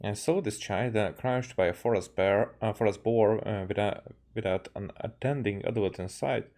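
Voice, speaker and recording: Man, dark mellow tone, lowish pitch, game streamer, halting read-aloud, frequent self-corrections. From Hungary. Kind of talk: reading game dialogue aloud with live commentary.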